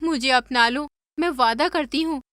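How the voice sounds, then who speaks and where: A woman voicing a brash, cheeky rich young wife, blunt and sassy, in an animated moral story. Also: sits high, moderate pace, no room sound, clean studio sound. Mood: pleading.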